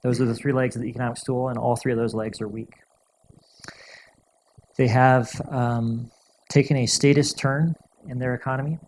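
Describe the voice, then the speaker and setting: A male guest speaker answering audience questions in a measured, thoughtful way, often restarting and repeating words. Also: medium pitch, flat delivery, a little mellow, slight background noise, low-quality recording. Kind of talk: lecture Q&A answers.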